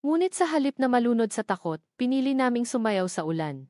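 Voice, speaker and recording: A woman's voice: on the high side, sing-song, a little fast, dark tone, dry recording, studio quality. A woman reading a scripted first-person love story as a dramatic narrator. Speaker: narrator of a romance audio drama.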